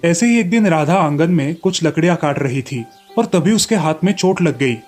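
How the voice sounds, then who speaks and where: A man's voice: on the high side, animated, normal speed, darkish tone, faint music behind. A man storyteller reading scripted narration for a children's moral tale.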